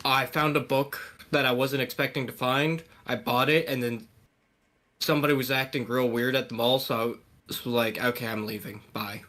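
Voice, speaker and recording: A man's voice: mid-range voice, steady tone, moderate pace, pushed and bright. Rambling joking podcast man. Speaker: podcast co-host. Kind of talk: casual chat.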